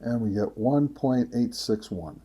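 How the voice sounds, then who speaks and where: A man hosting a casual product test, talking in a methodical, halting way and reading out figures deliberately. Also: medium pitch, animated, breathy edge, lo-fi sound. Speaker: video host, radio reviewer.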